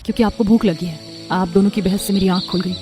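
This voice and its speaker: Gentle peacemaker sister-in-law, voiced by a woman for cartoon family drama, mid pitch. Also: fairly even intonation, fast, music in the background, natural voice. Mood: soft, soothing. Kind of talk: acted cartoon story dialogue.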